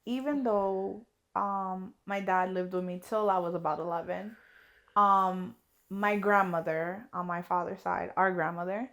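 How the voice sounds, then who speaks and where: A young adult woman co-hosting a relaxed podcast conversation. She speaks in a measured, reflective way, stopping to gather her thoughts. Her pitch sits in the middle range. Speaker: podcast co-host. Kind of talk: casual chat.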